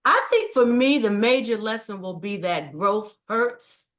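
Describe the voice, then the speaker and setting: A woman's voice: on the high side, expressive, measured pace, fairly bright tone. A middle-aged woman guest telling a personal story in a reflective, earnest way. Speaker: guest, writing coach. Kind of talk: casual interview chat.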